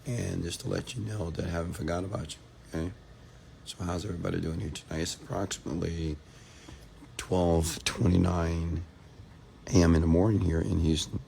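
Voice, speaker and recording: A man from Texas, hoarse after a seminar, hosting a late-night livestream, greeting viewers by name and giving motivational talk. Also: deep voice, darkish tone, slight background noise. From Texas, USA. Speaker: entrepreneur hosting a live stream.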